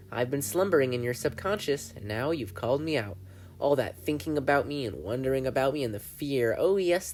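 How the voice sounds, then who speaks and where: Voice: mid-range voice, darkish tone. A reader voicing a gleeful, menacing male triangle dream demon who taunts and mocks, in a fan fiction audiobook. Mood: gloating. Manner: taunting, theatrical, mocking.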